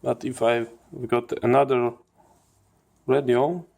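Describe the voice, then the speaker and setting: Slow, low-key man explaining hardware. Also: flat delivery, vocal fry, darkish tone. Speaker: host of a radio electronics channel. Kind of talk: hands-on teardown commentary.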